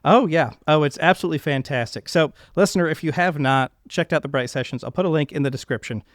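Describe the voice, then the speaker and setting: A man's voice: on the high side, fast talker, no room sound, studio quality. A man hosting an interview podcast, speaking in an earnest, effusive way. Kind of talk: podcast interview introduction and chat.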